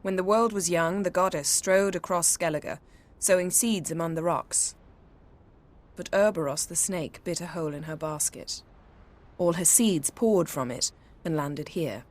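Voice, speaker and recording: A woman's voice: lowish voice, measured pace, close to an everyday voice. A woman playing a self-assured, skeptical and sardonic woman in a fantasy video game, with a wry, matter-of-fact manner. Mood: storytelling.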